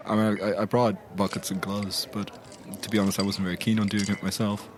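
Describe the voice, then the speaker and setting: Man acting tactful male agent, medium-low voice, mild, understated, practical, clearly noisy ambient backdrop. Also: little pitch movement, fairly quick, natural voice. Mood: mild, reasonable. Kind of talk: improvised tabletop roleplay.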